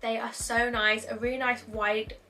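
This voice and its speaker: Bright-voiced woman gushing over clothes. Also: a little high, level tone, normal speed, faint music behind. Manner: gushing, chatty, laughs at herself. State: a little nasal and bunged up. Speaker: fashion vlogger. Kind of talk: clothing haul chat to camera. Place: small indoor room.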